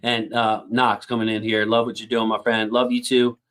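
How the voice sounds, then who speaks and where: A man hosting a casual football livestream, reading out viewer comments and thinking aloud with lots of fillers, and his voice has a slightly squeaky edge. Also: little pitch movement, normal speed, fairly bright tone. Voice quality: self-described squeaky voice. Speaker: football channel host reading live chat.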